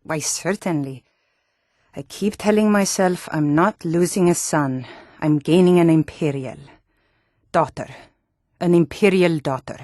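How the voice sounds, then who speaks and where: A woman's voice: low voice, a little slow, long pauses, a little mellow, dry recording. Hardy, blunt Nordic townswoman, dry sound. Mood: fond, motherly. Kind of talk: video game character voice acting.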